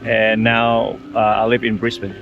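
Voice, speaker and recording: A man's voice: on the low side, flat delivery, unhurried, vocal fry, narrow, tinny audio. A young man from Indonesia with an Indonesian accent, talking casually in an interview and often restarting his sentences. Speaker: designer for a mission group. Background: soft background music.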